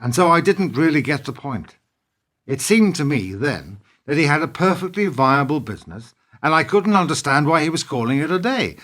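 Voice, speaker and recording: A man playing a principled but embittered business partner in a radio play. His voice sits fairly high for a man, and he needles with dry, measured sarcasm. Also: sing-song, fairly bright tone. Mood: wistful, reflective.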